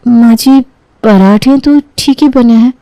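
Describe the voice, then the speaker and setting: A woman plays a young bride in a moral tale, her voice fairly high. She is dutiful and polite, deferring to her elders, in a dry recording. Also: slow, warm tone, dry recording, rough recording. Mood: deferential. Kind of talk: acted dialogue in a Hindi family storybook tale.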